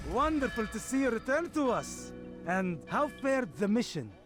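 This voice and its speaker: An actor playing a sarcastic, bitter assassin who scolds and sneers in a medieval video game. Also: male voice, extremely high pitch, sing-song, normal speed, music in the background.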